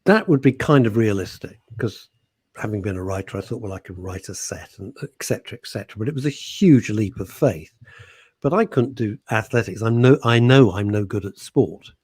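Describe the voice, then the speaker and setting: Male radio host, husky, dry close studio mic, chatty storyteller. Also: lowish voice, animated, moderate pace, darkish tone, dry recording. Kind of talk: casual radio chat.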